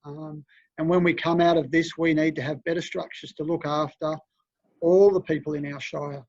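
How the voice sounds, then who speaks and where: Brief: man as mayor, monotone and thoughtful, with a dry, lo-fi council sound. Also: a little high, normal speed, no echo. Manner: hesitant, many fillers, reflective. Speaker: mayor chairing the meeting. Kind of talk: chairing a council meeting. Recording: dry, dull lo-fi meeting audio.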